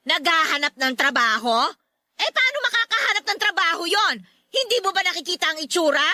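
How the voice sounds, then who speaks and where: A woman's voice: extremely high pitch, very animated, brisk, sharp tone, no echo, heavily acted. A woman playing an angry, nagging middle-aged mother in a Filipino radio drama, harsh and sharply emphatic. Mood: angry, scornful. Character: angry, scolding mother who scorns her daughter's boyfriend.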